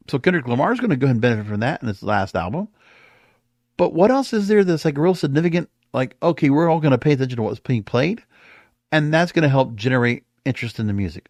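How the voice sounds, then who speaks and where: A man's voice: fairly high pitch, darkish tone, dry recording, studio quality. An American man giving opinionated solo podcast commentary in a rambling, exasperated manner full of rhetorical questions. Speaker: podcast host.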